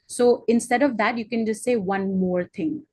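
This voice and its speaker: A woman's voice: medium pitch, normal speed, mellow, breathy edge, no echo. Woman tutor, dry close call audio, encouraging, filler-heavy. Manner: encouraging, many fillers like "you know". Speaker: English tutor. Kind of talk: conversational teaching with feedback. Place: online video call.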